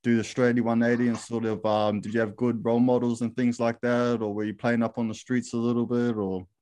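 A man hosting a podcast interview, relaxed and slangy, often calling the guest "man" and "bro". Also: medium pitch, a little fast. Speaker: podcast host.

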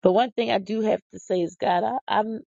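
A woman's voice: medium pitch, fairly even intonation, brisk, vocal fry, warm tone, no echo. A woman, hosting a podcast in a casual rambling monologue, tired, silly and folksy with exclamations. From Philadelphia. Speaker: podcast host. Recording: dull lo-fi dry recording.

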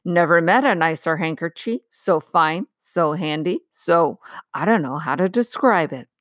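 Woman voicing a slow, polite, good-natured giant. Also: fairly low pitch, animated, no echo, studio quality. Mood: politely grateful. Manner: deferential, rustic colloquial speech.

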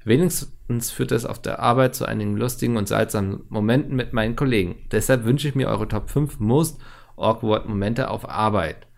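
A man's voice: medium pitch, normal speed, vocal fry, a little mellow. A man co-hosting a casual podcast, reading listener letters aloud and joking along. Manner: reads listener letters aloud, jokey, laughs a lot. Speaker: podcast co-host.

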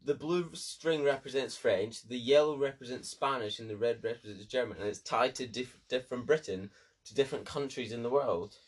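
A teenage boy with a Scottish accent, co-hosting a podcast in excitable, casual chat with friends, swearing and laughing freely. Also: mid-range voice, normal speed, husky. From Scotland. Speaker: podcast co-host.

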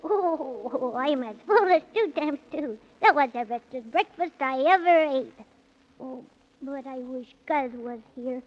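Casting: small boy, innocent and questioning, very high voice, vintage children's radio drama, muffled sound. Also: lively intonation, a little slow. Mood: eager, polite. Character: sweet, curious six-year-old boy. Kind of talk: 1930s children's radio serial acting. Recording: dull vintage 1930s radio recording.

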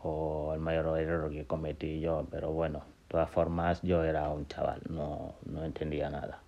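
A young man from Gambia and Senegal telling his life story on a podcast, in a halting, casual way with many fillers and restarts. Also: very deep voice, monotone, vocal fry. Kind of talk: casual personal monologue. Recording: dull lo-fi sound with faint noise.

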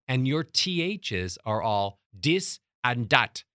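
A middle-aged man teaching as a voice coach, emphatic and demonstrating how to change loudness and pitch. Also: big pitch swings, takes it slowly, no echo, studio quality. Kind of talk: motivational teaching talk.

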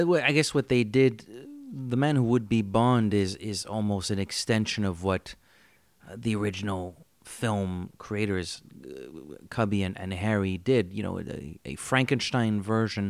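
A man's voice: lowish voice, unhurried, no room sound. A man co-hosting a casual film discussion with a wry, blunt, playful way of talking. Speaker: podcast co-host.

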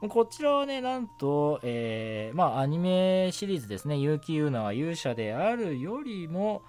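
A young man hosting a casual solo radio show, talking in a halting way as he thinks aloud. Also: fairly high pitch, big pitch swings, a little mellow, a little hiss or hum. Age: young adult. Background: background music.

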